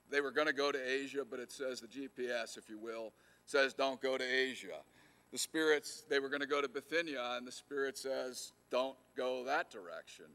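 A middle-aged male pastor preaching from the pulpit in an earnest, halting way, often restarting a phrase and leaning hard on key words. His voice sits at an ordinary pitch for a man. He speaks into a lapel mic in a church sanctuary. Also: a bit bright. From United States. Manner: earnest, with frequent fillers and restarts, rising emphasis on key words. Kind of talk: sermon.

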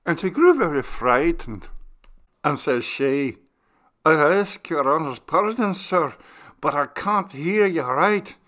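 Slow-spoken old servant woman storyteller, read by a man. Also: on the high side, fairly bright tone. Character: old Irish servant woman, a sorrowful, superstitious ghost storyteller. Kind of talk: literary dialogue storytelling.

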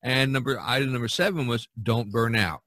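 Man, podcast host, bright forceful voice, dry close sound, rambling folksy advice, casual chat. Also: mid-range voice, a little fast, no echo. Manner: rambling, folksy, frequent "you know". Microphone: video call recording.

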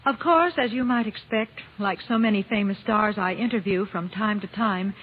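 A woman's voice: mid-range voice, moderate pace, husky. A woman guest commentator giving scripted promotional talk in a 1950s radio style. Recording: muffled, noisy old radio broadcast.

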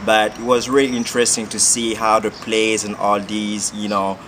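Student in his twenties, low voice, halting and full of fillers, interviewed outdoors. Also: level tone, fairly bright tone, slight background noise. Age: 20s. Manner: hesitant, many fillers. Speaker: exchange student. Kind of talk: casual interview answers. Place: outdoor courtyard by glass building.

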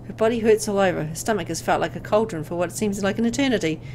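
A woman reading a visual novel aloud as dramatic scripted narration, with an expressive storytelling delivery. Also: mid-range voice, quick pace, a little hiss or hum.

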